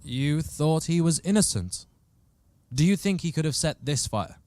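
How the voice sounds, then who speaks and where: A man plays a dutiful police detective in a comic radio play. His fairly high voice moves up and down a lot as he questions formally, insistent and a little exasperated. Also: lively intonation, unhurried, darkish tone, no room sound. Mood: probing.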